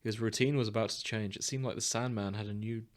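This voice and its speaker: A man with a fairly low voice co-hosts a casual fandom podcast. He talks in an enthusiastic, jokey way, as if chatting with friends. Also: steady tone, fast. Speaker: podcast co-host. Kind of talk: casual fandom chat, some reading aloud of story summaries.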